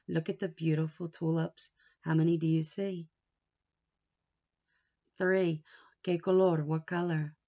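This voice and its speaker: Casting: female teacher reading a picture book, slow and expressive, some gravel, pausing after questions; slightly muffled recording. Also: low voice. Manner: expressive, asks questions and pauses for answers. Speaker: teacher reading aloud to young children. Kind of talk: children's story read-aloud with asides.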